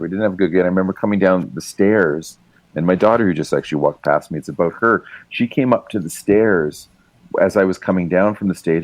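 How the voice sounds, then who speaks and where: A middle-aged man from Canada chats as a podcast guest in a very low voice, recorded close and dry with no room sound. He rambles through his stories, restarts often and laughs easily. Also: darkish tone, no echo, low-quality recording. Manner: rambling, laughing, swears freely, many restarts. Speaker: podcast guest, writer and musician. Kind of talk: casual chat, storytelling.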